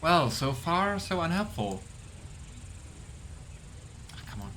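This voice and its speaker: A young man casually commenting on a game he plays, musing aloud and unsure, often trailing into low mumbles. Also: sing-song, slow pace, pushed and bright, slight background noise. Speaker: let's play host.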